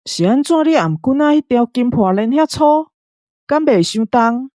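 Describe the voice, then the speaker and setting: A woman's voice: fairly high pitch, lively intonation, moderate pace, warm tone, no echo, studio quality, natural voice. A woman playing a naive, curious young boy with a Taiwanese accent in a radio drama. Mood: innocent curiosity. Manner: innocently inquisitive. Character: naive, curious, oblivious young boy asking blunt questions.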